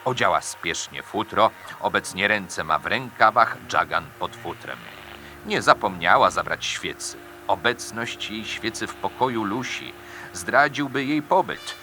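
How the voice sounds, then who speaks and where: A man narrating scripted true crime in a theatrical, suspenseful manner with dramatic pauses. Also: lowish voice, expressive, sharp tone, slight background noise. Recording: music bed behind the voice. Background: music.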